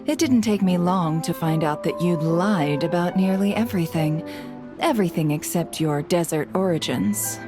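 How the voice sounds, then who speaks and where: Woman voicing a shrewd guild boss in a game, lowish voice, cool and assured, music behind. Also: close to an everyday voice. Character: composed, shrewd female guild leader from the desert. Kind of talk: dramatic video game voice acting.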